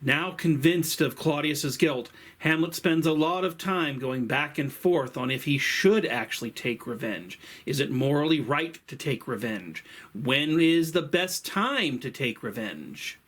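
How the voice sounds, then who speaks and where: Theatrical, higher-voiced man telling stories. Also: lively intonation, unhurried, fairly bright tone. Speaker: teacher and host of a story series. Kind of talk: scripted literary lesson and storytelling.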